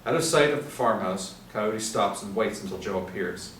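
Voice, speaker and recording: A man's voice: fairly low pitch, moderate pace, a bit bright. A man reading out scripted screenplay action and stage directions as the narrator. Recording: echoey room, distant mic, faint noise.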